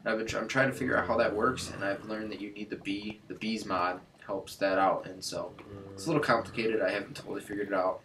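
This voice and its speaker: A man's voice: fairly low pitch, steady tone, fast, background noise. A young man with a stuffed-up nose hosting a casual game tutorial, rambling with many fillers and restarts. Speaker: Minecraft tutorial host.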